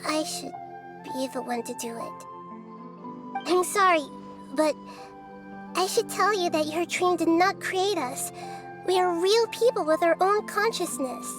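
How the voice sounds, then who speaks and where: A small, wise goddess with a high girlish voice, speaking slowly and thoughtfully. She is gentle and calm in video game style, with music behind her. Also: full character voice. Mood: gently regretful.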